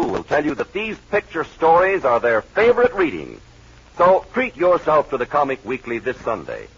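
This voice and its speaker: Husky, animated male radio announcer. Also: on the high side. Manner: dramatic, emphatic announcing. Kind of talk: 1940s radio scripted narration and sponsor read. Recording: muffled, husky-sounding old radio recording.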